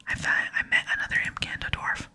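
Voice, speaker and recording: A woman voicing a polite, eager young female game adventurer in a soft, earnest whisper. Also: fairly quick. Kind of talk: whispered reading of game dialogue. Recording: close whisper with music behind.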